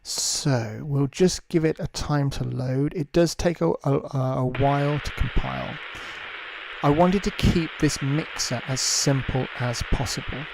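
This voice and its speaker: A man hosting a casual live demonstration, explaining things in a measured way. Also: mid-range voice, creaky, noisy background. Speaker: livestream host. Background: music.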